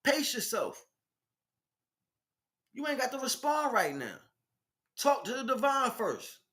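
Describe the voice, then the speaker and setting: A man's voice: very high voice, very animated, takes it slowly, pushed and bright, echoey room. An American man giving an informal spiritual monologue in streetwise slang, speaking emphatically and with repetition. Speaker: spiritual teacher and stream host.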